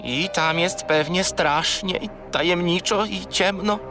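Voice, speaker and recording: A man's voice: sits high, measured pace, music in the background. A man voicing a small, furry, childlike male creature, curious and naive, in a children's audio drama. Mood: excitable. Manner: excitable, opinionated, childlike.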